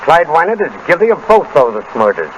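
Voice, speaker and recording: An actor playing a blunt, dogged police inspector, emphatic and plain-spoken, in 1930s radio drama style. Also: male voice, a little high, sing-song, normal speed. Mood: determined. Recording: noisy 1930s radio broadcast recording.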